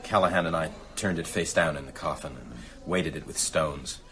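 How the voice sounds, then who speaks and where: A man's voice: very deep voice, normal speed, fairly bright tone. An actor playing a grave, weary man giving a subdued account in a radio drama. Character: grave, weary man reporting grim deeds.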